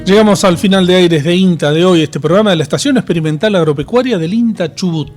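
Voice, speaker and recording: A man's voice: high-pitched, fast, darkish tone. A man with an Argentine accent, presenting as a radio host signing off a programme. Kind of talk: programme sign-off. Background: guitar music.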